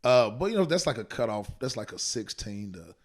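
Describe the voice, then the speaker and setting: A man's voice: mid-range voice, big pitch swings, fairly quick. A man in his late thirties, a comedian chatting casually on a podcast and telling loose, slangy stories full of asides. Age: 30s. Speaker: guest comedian.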